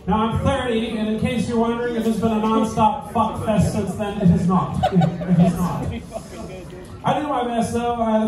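A young man telling stand-up jokes on a small club stage, self-deprecating and laughing between lines, recorded from a distance. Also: high-pitched. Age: young adult. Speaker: stand-up comedian. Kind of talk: stand-up set with crowd roast banter. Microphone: handheld stage mic, filmed from far. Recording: echoey club audio from far off. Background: crowd laughter.

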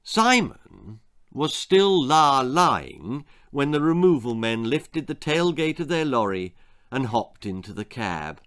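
A man's voice: mid-range voice, slow. An older man reading a children's story aloud in a theatrical, dramatic way. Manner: animated, theatrical delivery. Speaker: author reading his own story. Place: small book-lined room indoors.

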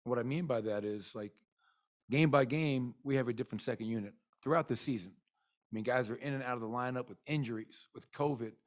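Middle-aged male coach, postgame presser, candid and rambling, mid-pitched voice, desk mic in an arena press room. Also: fairly quick. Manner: candid, rambling with many fillers. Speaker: basketball head coach. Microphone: desk mic in front.

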